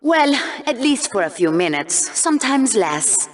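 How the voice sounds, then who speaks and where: A woman's voice: a little high, very animated, unhurried. An actress playing a cruel, sarcastic female game-show co-host who delivers scornful commentary in a video game. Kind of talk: scripted arena commentary.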